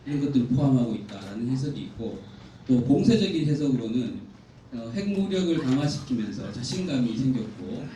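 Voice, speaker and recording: A man giving a formal, measured academic presentation from slides at a conference, explaining point by point. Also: mid-range voice, very animated, dark tone. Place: indoor conference hall. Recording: echoey hall, distant mic, faint noise.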